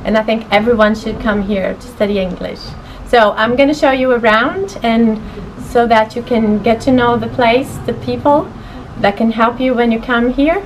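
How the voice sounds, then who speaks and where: Woman, 40s, from Brazil, agent welcoming viewers indoors; slightly high voice, faint background hiss. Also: moderate pace, poor audio. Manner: upbeat, promotional. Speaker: education agent. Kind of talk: direct-to-camera introduction. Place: indoor school office.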